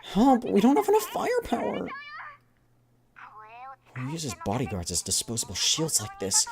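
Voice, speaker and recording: A woman's voice: deep voice, huge pitch swings, moderate pace, heavy background noise, voice pushed up, full character voice. A woman voicing an agitated female android soldier in a game story, urgent and heated as she reports from combat. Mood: panicked, indignant.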